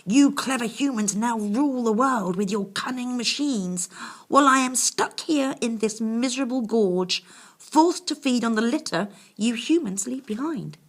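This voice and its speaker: A woman's voice: fairly high pitch, unhurried, pitched above the performer's own voice. A woman voicing an ancient, self-pitying male dragon from a storybook, quavering and plaintive, with grand old-fashioned speech. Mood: bitter self-pity. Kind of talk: storybook character reading.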